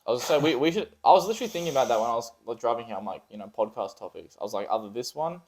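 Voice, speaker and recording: A man's voice: mid-range voice, expressive, racing, a little mellow. A young man in casual banter as a podcast co-host, teasing and full of slangy bro-talk.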